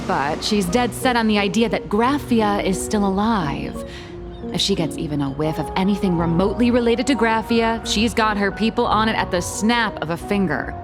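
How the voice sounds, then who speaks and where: A woman's voice: mid-range voice, animated, moderate pace, lightly acted. Woman acting as a cheeky, glib female trickster, music behind. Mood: wry, gossipy. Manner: glib, casual, teasing. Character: cheeky, unflappable female trickster with dry wit. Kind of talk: video game voice acting.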